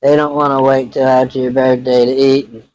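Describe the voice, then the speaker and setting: A man acts out a young Texan ranch hand with a very monotone delivery. He is laid-back and folksy, rambling through his phone conversation in halting phrases at a middle pitch. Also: lightly acted, rough recording. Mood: folksy, frank. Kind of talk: improvised prank phone call in character.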